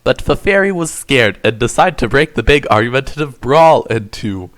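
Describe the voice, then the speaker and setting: A man's voice: mid-range voice, expressive, normal speed, fairly bright tone, slight background noise. A man reading comedic fan fiction as narrator, in a melodramatic, emphatic, mock-serious way.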